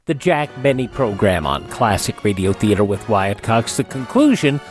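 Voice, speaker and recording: A man's voice: fairly low pitch, big pitch swings, moderate pace, soft background music. A man working as a radio host, reading scripted announcements and ads.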